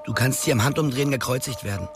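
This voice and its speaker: A man's voice: mid-range voice, fast talker, natural voice. An actor playing a blunt, slangy young small-town man, the cheeky and bitter best friend in a radio drama. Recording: music behind the voice.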